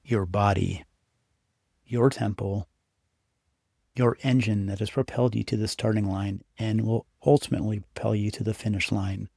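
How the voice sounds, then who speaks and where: Low-voiced man guiding a meditation. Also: steady tone, gravelly, a little mellow, no room sound, clean studio sound. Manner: unhurried, instructive, encouraging second-person address. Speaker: meditation guide. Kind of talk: guided meditation, scripted narration.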